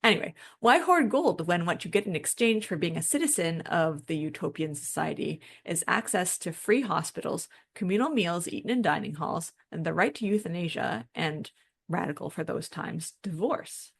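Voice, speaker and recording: A woman's voice: lowish voice, expressive, normal speed, gravelly, slightly rough. A woman co-hosting a podcast, explaining and discussing things in an informal, conversational way. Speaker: podcast co-host.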